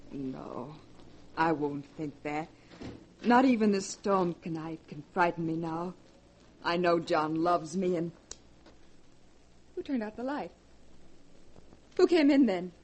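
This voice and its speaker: An actress playing an anxious, loving young wife in a 1940s radio drama, with a breathless, emotional delivery. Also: female voice, medium pitch. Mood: frightened. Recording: old radio broadcast with faint hiss.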